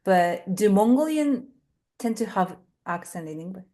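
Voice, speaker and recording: Slow-paced woman hosting a casual talk. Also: mid-range voice, expressive, dark tone. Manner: halting, searching for words. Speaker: event host. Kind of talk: casual interviewing in English.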